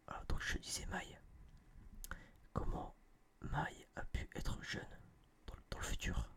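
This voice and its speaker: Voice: unhurried, fairly bright tone. A person whispering a casual, rambling ASMR film review in slangy, hesitant phrases, often repeating words, close to a binaural microphone. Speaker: ASMR video creator.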